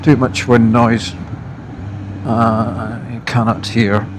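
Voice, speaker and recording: A Scottish man talks casually to viewers as he rides a motorbike, reviewing gear off the cuff. His delivery is slow and halting, broken into short phrases with pauses between them. His mid-pitched voice sits in clear wind and engine noise. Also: flat delivery. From Scotland. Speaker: motorcycle vlogger. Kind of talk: casual unscripted product review while riding. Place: outdoors on a moving motorbike.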